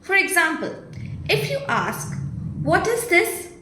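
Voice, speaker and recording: A woman giving an instructional training talk as a program manager, with deliberate, emphatic enunciation. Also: very high-pitched, sing-song, sharp tone. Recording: roomy echo, faint background noise.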